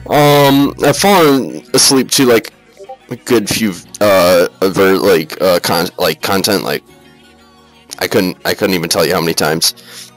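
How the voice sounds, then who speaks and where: A man hosting a casual comedic slideshow review, talking in a halting, rambling, profane, mock-serious way. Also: deep voice, soft background music, low-quality recording. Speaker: reviewer, video host.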